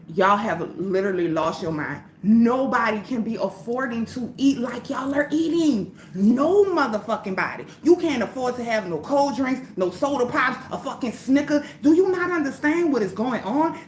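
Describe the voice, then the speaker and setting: A woman's voice: sits high, roomy sound, slight background noise. A woman hosting a livestream, ranting in a heated, profane, preachy way. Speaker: livestream host.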